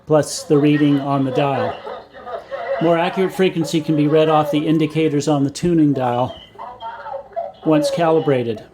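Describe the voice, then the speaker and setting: A man narrating a scripted technical explanation in a measured, matter-of-fact way, as a hobbyist presenter. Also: a little high, steady tone, noisy background. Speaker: vintage radio hobbyist and presenter.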